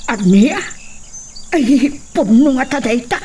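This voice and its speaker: Older village woman, anxious, fretful, high voice, radio drama, noisy with chirping. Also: animated, slow, darkish tone. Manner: fretful, wailing exclamations. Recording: noisy track with cricket-like chirping.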